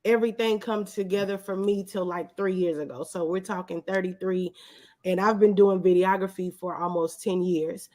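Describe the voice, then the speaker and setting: A woman's voice: fairly low pitch, fairly even intonation, moderate pace, a little mellow. A woman in her thirties talking casually as an interview guest, in a candid, humble, reflective way. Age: 30s. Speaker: guest, videographer.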